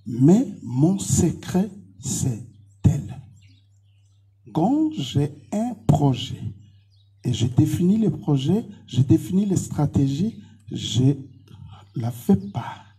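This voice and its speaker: A man's voice: on the high side, very animated, slow pace, long pauses, warm tone, husky. A man with a Congolese accent, a pastor answering interview questions in short, emphatic, repeated declarations.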